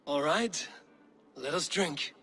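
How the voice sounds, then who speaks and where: Wry, higher-voiced Viking warrior. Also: male voice, lively intonation, takes it slowly. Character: Eivor, seasoned Viking warrior, wry and steady. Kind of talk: dramatic video game acting.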